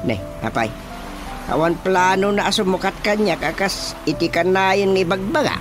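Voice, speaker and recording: A man's voice: high voice, expressive, moderate pace. An older village father with an Ilocano accent in a radio drama. His delivery swings widely up and down as he mulls things over, with music playing behind him. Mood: thoughtful, doubtful. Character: older father figure weighing village politics. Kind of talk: Filipino radio drama acting.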